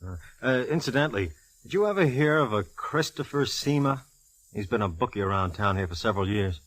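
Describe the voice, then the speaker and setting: A man playing a gruff, matter-of-fact American police detective in an old-time radio drama. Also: mid-range voice, animated, a little fast, fairly bright tone, no echo, poor audio. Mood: businesslike. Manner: matter-of-fact, slightly needling.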